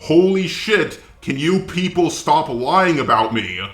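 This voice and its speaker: A man's voice: a little high, animated, slow, fairly bright tone, reverberant. A man delivering scripted commentary in a sarcastic, exasperated way, with swearing. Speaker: commentary video creator.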